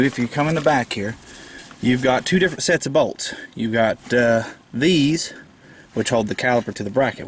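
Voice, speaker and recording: A man's voice: medium pitch, a little hiss or hum. A man with a slight head cold, explaining a car repair step by step in a plainspoken, patient way with frequent fillers. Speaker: DIY car repair presenter. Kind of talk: casual how-to walkthrough.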